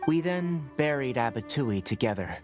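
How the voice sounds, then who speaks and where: A man plays a young forest ranger and scholar from a video game. He is matter-of-fact and dryly stern with a caring core, in a mid-pitched, animated voice. Music plays clearly behind him. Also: moderate pace, close to an everyday voice. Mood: explaining, earnest.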